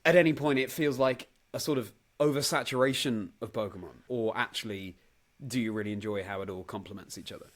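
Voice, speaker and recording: A man's voice: medium pitch, a little fast. A man hosting a casual interview, speaking in an encouraging, affirming way. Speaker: podcast host.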